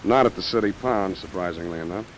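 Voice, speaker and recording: An actor playing a wry, unflappable American private detective in 1940s radio noir, deadpan and sardonic. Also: male voice, low voice, fast, slight background noise, lightly acted, poor audio. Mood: dry, sardonic. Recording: vintage radio recording, music and rain.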